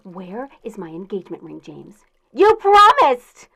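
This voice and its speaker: A woman in a comic audio drama playing a jealous, demanding fiancée with a sharp, strident, scolding manner. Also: medium pitch, huge pitch swings, measured pace, vocal fry, full character voice. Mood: angry, demanding.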